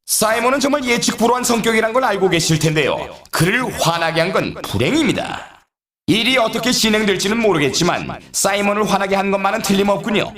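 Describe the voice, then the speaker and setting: A man playing an excitable snowboard race announcer in a video game, with polite, dramatic broadcast delivery. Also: high-pitched, fast, crowd noise. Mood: dramatic warning. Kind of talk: scripted sports game commentary. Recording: roomy echo, distant from mic.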